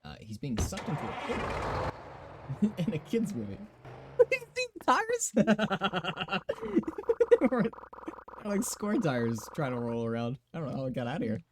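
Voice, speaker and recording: A young man in his twenties with a raspy voice, chatting casually and giggling often as he streams a game. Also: fairly high pitch, huge pitch swings, a little slow, heavy background noise. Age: 20s. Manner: giggly, rambling chatter full of fillers.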